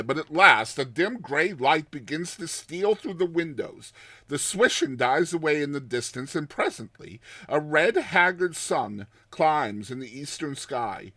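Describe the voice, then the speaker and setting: A man's voice: on the high side, fairly bright tone, breathy edge, no echo, heavily acted. A man playing a haunted, terrified man on the edge of madness in a dramatized horror story, speaking intensely and drawing out his words. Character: haunted, terrified man recounting a recurring nightmare, near madness.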